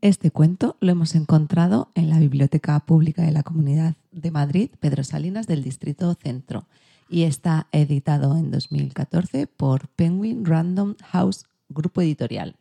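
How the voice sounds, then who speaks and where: A woman's voice: low voice, normal speed, creaky, warm tone, slightly rough. A woman with an accent from Spain, presenting scripted podcast segments. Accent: Spanish (Spain).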